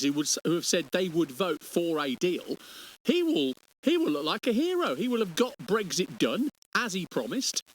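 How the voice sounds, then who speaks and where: A man works as a radio political interviewer, with a fairly high voice and a very animated pitch. He challenges his guest in halting phrases capped with tag questions, over a faint hiss. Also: poor audio.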